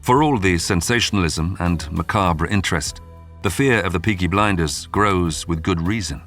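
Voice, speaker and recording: A man with a very low voice delivers scripted true-crime history narration. His storytelling is measured and dramatic, with faint music running underneath. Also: fairly bright tone. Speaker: documentary narrator.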